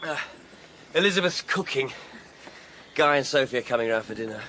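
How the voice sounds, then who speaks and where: A breezy, eager-to-please stepfather chatters in a bouncy, lively voice with faint noise underneath, all friendliness in a radio comedy. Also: medium pitch, a little fast.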